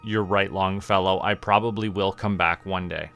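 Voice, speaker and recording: Casting: male game streamer, lowish voice, casual think-aloud commentary, music underneath. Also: steady tone, normal speed, fairly bright tone, clear, resonant tone. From Canada. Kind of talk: casual livestream gameplay commentary.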